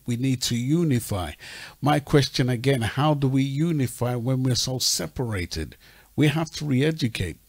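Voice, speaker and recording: A man hosting a radio discussion in an earnest, deliberate way, repeating phrases for emphasis. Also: medium pitch, a little mellow, no room sound. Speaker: radio talk show host. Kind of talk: measured conversational radio discussion.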